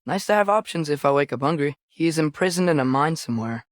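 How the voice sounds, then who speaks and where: A man's voice: on the high side, expressive, fast, no echo, natural voice. Game role: eager, sincere teen boy voiced by a young man, godly lineage, youthful and conversational, close dry sound. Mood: curious, eager. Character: earnest, eager teenage boy adventurer, son of a god. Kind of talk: video game character acting.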